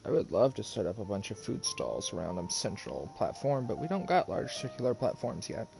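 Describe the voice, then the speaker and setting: A man's voice: lowish voice, sing-song, fairly quick, gravelly, darkish tone. A man giving casual live-stream commentary on a game, musing aloud as he plays. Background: game music.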